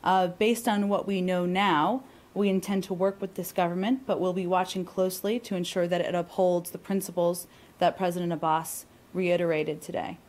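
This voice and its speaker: Lower-voiced female government spokesperson. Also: steady tone, moderate pace, darkish tone. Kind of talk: press briefing answer.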